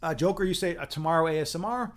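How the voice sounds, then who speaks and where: A man's voice: on the high side, quick pace, faint music behind. A man hosting a casual livestream, explaining chess lines as a teacher, rambling with fillers and frequent tag questions.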